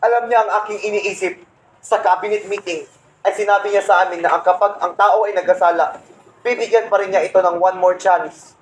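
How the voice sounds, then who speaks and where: A man's voice: high-pitched, steady tone, brisk, lightly acted. A man playing a proud, resentful Satan who tells his schemes indignantly in a comedic radio play. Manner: boastful, indignant storytelling. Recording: roomy echo, some background noise.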